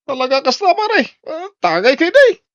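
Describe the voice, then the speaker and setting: A man's voice: very high voice, wildly swooping pitch, moderate pace, fairly bright tone, no echo, muffled recording, exaggerated voice. A man with an Ilocano accent playing a hard-drinking husband in an audio drama, boisterous with friends, belligerent or sheepish at home. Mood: tipsy, rowdy.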